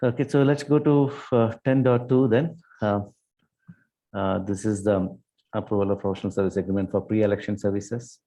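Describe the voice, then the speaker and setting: A man's voice: on the low side, steady tone, normal speed, mellow. A man chairing a board meeting, hesitant, with many fillers and restarts. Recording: dull lo-fi meeting audio.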